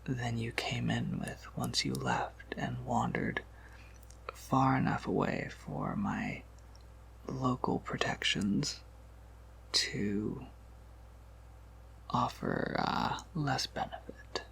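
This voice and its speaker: A man playing a polite, slightly scatterbrained vampire apothecary in an ASMR roleplay, whispering gently and hesitantly to the listener. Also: slow pace, long pauses, heavily acted. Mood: musing, modest. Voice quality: breathy whisper breaking into creaky low murmur. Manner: gentle, considerate, hesitant with small asides.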